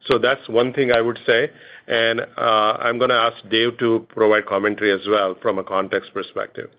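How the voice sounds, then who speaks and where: A man speaking as a chief executive on an earnings call, answering in a measured, halting way. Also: lowish voice, flat delivery. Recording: dull lo-fi conference call.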